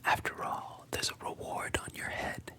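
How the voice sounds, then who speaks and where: A storyteller voicing a calm adult male pirate captain in a soft, breathy whisper. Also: a little slow. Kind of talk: whispered ASMR story retelling.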